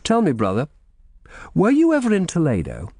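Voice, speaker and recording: A man's voice: a little high, wildly swooping pitch, normal speed, a little mellow, no echo, studio quality, voice pushed up. A man voicing a stern, impatient royal official in an audiobook, curt and authoritative. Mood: wary, probing. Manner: brusque, authoritative.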